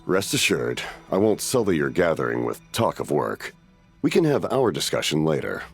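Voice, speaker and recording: An actor playing a stern, courteous male commander in a video game, speaking with measured formal politeness. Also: a bass voice, sing-song, gravelly, exaggerated voice. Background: soft game music.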